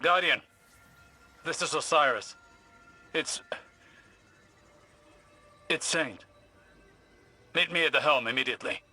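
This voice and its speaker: A man's voice: on the high side, slow pace, long pauses, pushed and bright, soft background music, full character voice. An actor playing a grave older male mystic in a sci-fi video game, formal, urgent and worried.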